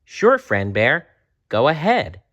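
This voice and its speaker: A man's voice: medium pitch, wildly swooping pitch, slow, a bit bright, lo-fi sound, voice pushed up, heavily acted. A man voicing a young schoolboy in a children's storybook, sweet, earnest and a little hesitant. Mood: willing. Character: young schoolboy, mischievous but sweet and unsure.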